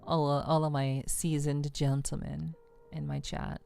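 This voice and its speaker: A woman with a low, mellow voice and plenty of vocal fry hosts a livestream. She chats casually and softly with her viewers, relaxed and friendly. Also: a little slow, faint music behind. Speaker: livestream host.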